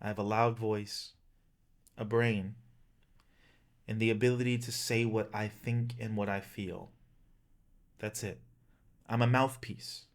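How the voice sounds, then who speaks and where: A man in his thirties giving an earnest, emphatic personal rallying speech as a vlogger, in plain-spoken language. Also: mid-range voice. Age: 30s. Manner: impassioned, emphatic, plain-spoken with occasional swearing.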